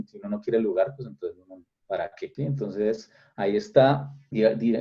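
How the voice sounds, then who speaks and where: A young Colombian man with a Colombian accent giving thoughtful, reflective answers in an interview, often restarting phrases and rising in pitch when he stresses a point. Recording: dull lo-fi recording.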